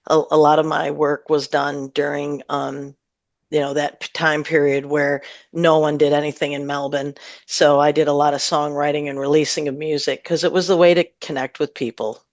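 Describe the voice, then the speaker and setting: A woman's voice: deep voice, level tone, moderate pace, no echo. A woman with a Midwestern American accent, chatting casually as a singer-songwriter guest in an interview, thinking aloud in loose, unfinished sentences. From northern Michigan, USA. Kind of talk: casual radio interview chat.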